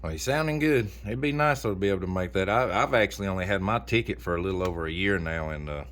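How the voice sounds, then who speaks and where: A man's voice: sits low, fast talker, noisy background. A man chatting casually as an amateur radio operator, in a folksy, rambling way. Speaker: amateur radio operator recording for a video channel. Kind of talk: casual chat over ham radio.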